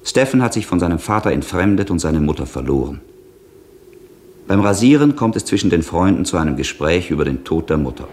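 A man narrating a 1960s German radio drama introduction in a formal, solemn, measured, declamatory way. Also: deep voice, very animated, darkish tone, a little hiss or hum. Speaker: announcer and narrator.

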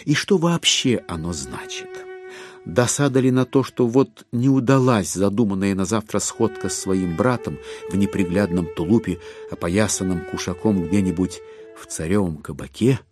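A man narrating a literary audio drama in a measured, expressive storytelling manner with deliberate pauses. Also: low voice. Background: music with violin.

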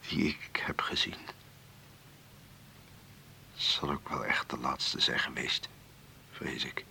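A man acting a gloomy, ailing, wealthy old uncle in a Dutch radio play, speaking haltingly, wearily and with resignation. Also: a bass voice, fairly even intonation, vocal fry, pushed and bright, in a whisper, exaggerated voice. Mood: weary, fond.

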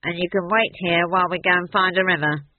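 A narrator voicing a bossy, irritable male dwarf, suspicious, sharp and curt. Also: medium pitch, fairly even intonation, fast talker, no room sound, natural voice. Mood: sarcastic, grudging. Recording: muffled, thin phone-like sound.

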